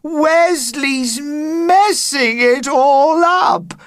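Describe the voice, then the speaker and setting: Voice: very high voice, big pitch swings, drawn-out words, a bit bright, no echo, studio quality, pitched above the performer's own voice, full character voice. A reader voicing a bossy young schoolgirl who whines about a play going wrong. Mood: whiny. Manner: whiny and complaining.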